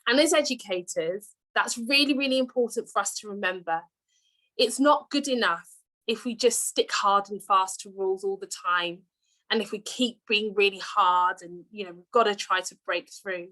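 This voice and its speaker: A woman's voice: mid-range voice, normal speed, sharp tone, poor audio. A woman with an English accent, a deputy head teacher, giving an earnest conference talk over a video call, with many fillers and repeated words.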